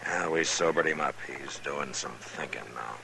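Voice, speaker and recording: An actor playing a steady, protective American frontier marshal in an old-time radio western, plain-spoken and firm. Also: male voice, a bass voice, normal speed, gravelly, background noise. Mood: easygoing, reassuring.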